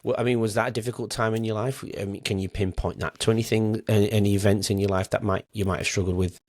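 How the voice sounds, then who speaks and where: A man hosting a casual interview podcast, reflective and halting as he works his way into questions. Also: fairly low pitch, fairly even intonation.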